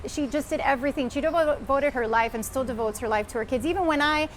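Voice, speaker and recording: A woman's voice: sits high, fast talker, a little hiss or hum. A woman who works as a news anchor, talking earnestly and reflectively in a heartfelt conversation.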